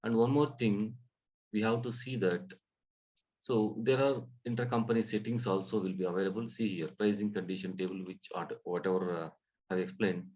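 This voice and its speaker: A man giving a live software training lesson, explaining step by step in a halting way, often repeating and rephrasing his phrases. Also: on the low side, steady tone. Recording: dull, muffled lo-fi audio.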